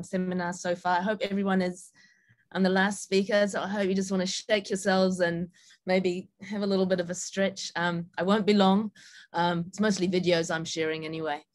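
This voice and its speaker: Female guest speaker, broad Kiwi accent, slightly low pitch, informal and somewhat hesitant presenting style. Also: level tone, normal speed. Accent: New Zealand. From New Zealand and Sabah, Malaysia. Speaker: guest speaker, film director.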